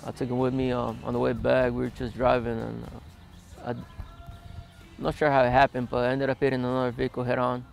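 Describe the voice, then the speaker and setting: A man in his twenties, interviewed outdoors in a desert yard, speaking plainly with many hesitant pauses. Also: mid-range voice, completely flat delivery, creaky, soft background music. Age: 20s. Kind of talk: documentary interview, recounting his past.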